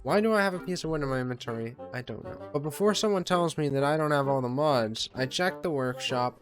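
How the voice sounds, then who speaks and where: A man's voice: medium pitch, a bit bright. Male gameplay commentator, mid-range voice, casual and self-deprecating, rambling delivery with mild swearing, faint music behind. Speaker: gaming video host.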